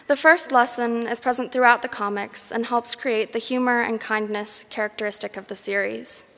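A young woman, a graduating student, gives a prepared commencement speech in a measured, formal way. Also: on the high side, fairly even intonation, a bit bright. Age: young adult. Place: large concert hall, speaking at a graduation ceremony. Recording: echoey large hall PA.

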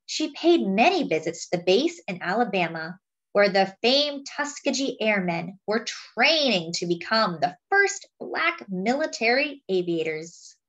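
A woman reading a picture book aloud, with expressive, dramatic phrasing. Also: medium pitch, a little slow, muffled recording. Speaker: storybook reader.

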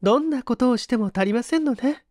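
男性が演じる高い声の上品な令嬢. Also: male voice, extremely high pitch, animated, quick pace, a little mellow, no echo, studio quality, pitched above the performer's own voice. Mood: relieved, grateful. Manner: genteel, feminine, deferential speech. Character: polite, grateful well-bred young lady. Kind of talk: dramatic storybook reading.

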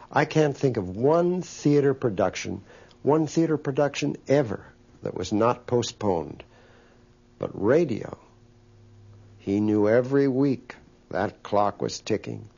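A man reminisces for a documentary in a mellow, gravelly voice. He speaks emphatically and very slowly. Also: on the low side. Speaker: interviewee. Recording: dull, muffled audio.

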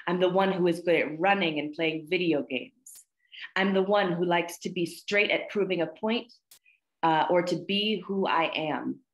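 A woman's voice: lowish voice, normal speed, reverberant, low-quality recording. A woman in her thirties hosting a student poetry reading over a video call, with an encouraging manner. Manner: encouraging, praising. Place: plain indoor room, on a video call.

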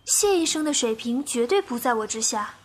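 Woman acting a high-voiced young lady doctor. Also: animated, fairly quick, a little hiss or hum, close to an everyday voice. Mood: polite, assured. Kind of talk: audio drama acting.

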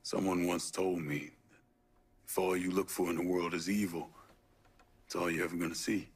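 An actor playing a stoic, principled young American war veteran in a dramatic video game. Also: male voice, deep voice, fairly even intonation, normal speed, slightly rough. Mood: tender, sincere. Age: young adult.

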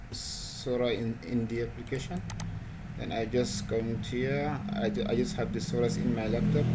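A man hosting a programming tutorial, talking through his screen in a halting way, repeating words and using non-standard English phrasing. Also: medium pitch. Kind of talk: casual screen-recorded coding walkthrough. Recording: noisy home recording.

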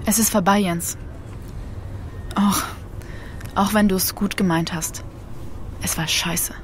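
A woman's voice: mid-range voice, steady tone, slow pace, lightly acted. A woman playing a hurt, guarded young daughter in an audio drama, terse and understated. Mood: resigned. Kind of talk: naturalistic audio drama acting. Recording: clear vehicle noise behind.

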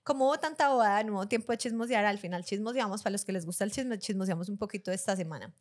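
A woman's voice: medium pitch, very fast. A young woman co-hosting a casual podcast chat, laughing often between her lines. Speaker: podcast co-host.

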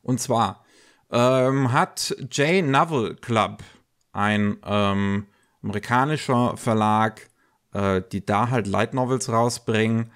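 A man co-hosting a podcast, talking casually and haltingly, slightly congested from a cold. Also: on the low side, fairly bright tone. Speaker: podcast co-host. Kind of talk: casual news podcast talk.

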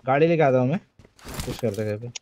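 A man's voice: medium pitch, quick pace, a little mellow. A man making casual callouts and chatting in a game squad, often repeating short phrases. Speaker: gaming squad member. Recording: clear game noise behind voice.

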